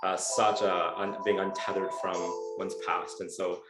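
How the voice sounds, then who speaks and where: A man's voice: deep voice, level tone, unhurried, over loud music. A Canadian man hosting a webinar on a video call, earnest and hesitant with many fillers. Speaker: webinar host. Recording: echoey room.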